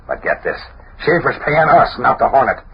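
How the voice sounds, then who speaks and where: An actor playing a tough racketeer boss in 1940s radio drama, talking hard-boiled slang and giving orders. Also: male voice, medium pitch, big pitch swings, moderate pace. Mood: gruff, scornful. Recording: muffled, noisy old radio recording.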